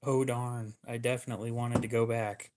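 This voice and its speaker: A man's voice: mid-range voice, flat delivery, normal speed, noisy background. A man hosting a livestream, chatting casually in a joking, sarcastic way with some swearing. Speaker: livestream host.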